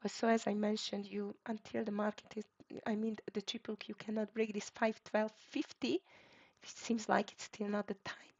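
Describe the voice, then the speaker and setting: Hungarian-accented woman calling trades, gravelly. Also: medium pitch, little pitch movement, moderate pace, darkish tone. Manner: clipped ticker callouts with fillers. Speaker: live trading stream host. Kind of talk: live market commentary. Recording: dull lo-fi stream audio.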